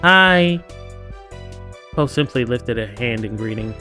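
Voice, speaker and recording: The guy on a game stream reading a story game out loud in a middling voice, playfully chiming in over the soundtrack. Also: mid-range voice, moderate pace, faint music behind. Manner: playful, acts out characters' lines. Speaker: streamer playing a visual novel. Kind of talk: reading game text aloud with casual asides.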